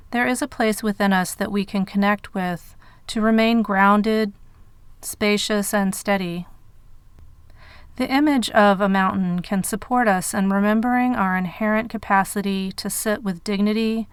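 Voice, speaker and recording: A woman guiding a meditation in measured phrases with pauses between them. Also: medium pitch, clean tone, no echo. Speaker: meditation guide. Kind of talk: guided meditation narration.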